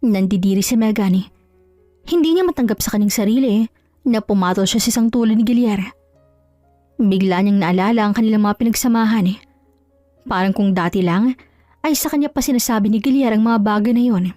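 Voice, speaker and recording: A woman's voice: mid-range voice, a little fast, pauses often, dark tone, no room sound, faint music behind. A woman narrating a scripted dramatic love story as a storyteller.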